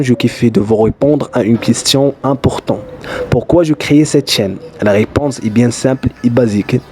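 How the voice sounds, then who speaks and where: A man's voice: mid-range voice, mellow, slight background noise. A Moroccan man whose first language is Darija, chatting casually in loose, non-native French as he vlogs and tells stories, with lots of fillers. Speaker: YouTuber. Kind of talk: casual vlog storytelling.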